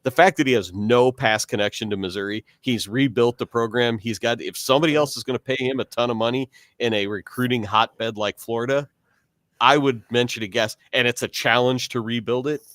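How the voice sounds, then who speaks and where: A man's voice: medium pitch, brisk, fairly bright tone, no room sound, studio quality. A man co-hosting a casual sports podcast, rambling through his points with restarts. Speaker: podcast co-host, coach.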